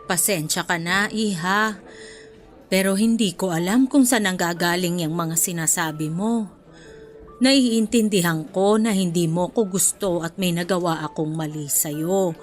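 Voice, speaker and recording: Actress doing a gentle motherly older lady student, patient n apologetic, radio drama w music behind. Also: on the low side, close to an everyday voice. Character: kindly, apologetic older woman, a returning college freshman. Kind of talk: radio drama acting in Filipino. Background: music bed.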